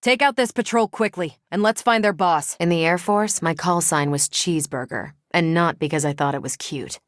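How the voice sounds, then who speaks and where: Video game superhero heroine, played by a woman: American, self-assured ex-pilot, low register, wisecracking and dry, close dry recording. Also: normal speed, dry recording, lightly acted. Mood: brisk, matter-of-fact. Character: confident, wry female superhero, former Air Force pilot.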